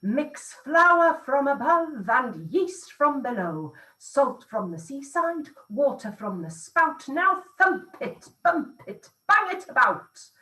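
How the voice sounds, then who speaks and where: Eager, shouty baker man read by a woman, high-pitched and bursting with ideas, heard a little way off. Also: sing-song, takes it slowly, few pauses, echoey room, far from the mic, heavily acted, rough recording. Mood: commanding. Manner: loud, eager, bossy exclamations. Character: excitable male village baker, full of bright ideas.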